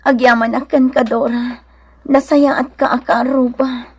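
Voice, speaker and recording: High-voiced, tender Ilocano mother. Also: flat delivery, moderate pace, husky. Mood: grateful, warm. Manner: tender, earnest, emotive. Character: devout, caring, anxious young mother and neighbour. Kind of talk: Ilocano radio drama acting.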